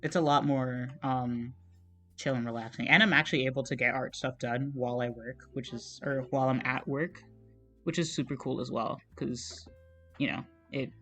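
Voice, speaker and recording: A young man streaming as he draws, chatting casually in a meandering, soft, self-deprecating way, with plenty of fillers and trailing thoughts. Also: mid-range voice, steady tone, moderate pace, soft background music. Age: young adult. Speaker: art streamer. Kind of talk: casual chat while drawing on a livestream.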